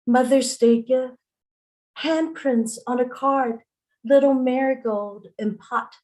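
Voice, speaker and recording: A middle-aged woman poet performs at an open mic. She speaks slowly and deliberately, with an earnest manner. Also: high-pitched, steady tone, darkish tone. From Singapore. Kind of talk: spoken introduction to open mic poetry. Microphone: online video call at home.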